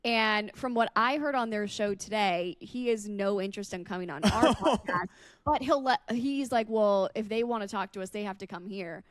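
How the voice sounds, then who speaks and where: A woman's voice: medium pitch, moderate pace, hardly any pauses, smooth, dry recording. A woman podcast host chatting casually and candidly, with some swearing. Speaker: podcast host and radio personality.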